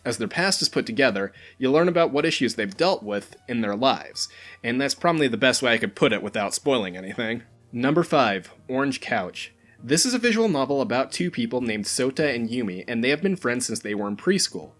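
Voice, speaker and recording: A man hosts a scripted countdown of recommendations in a mid-pitched voice that moves up and down a lot. His narration is informal and dryly humorous. Music plays faintly behind him. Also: expressive, a little fast, fairly bright tone. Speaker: host of a video game recommendation countdown. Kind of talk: scripted narration of a top list.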